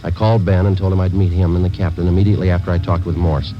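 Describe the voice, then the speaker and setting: A dull vintage radio recording of an American actor as a deadpan undercover police sergeant. His voice sits very low and he rattles out facts quickly, weary and businesslike, in clipped radio-drama narration. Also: lightly acted. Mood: flat, matter-of-fact. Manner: deadpan, matter-of-fact. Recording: dull old radio recording, music behind.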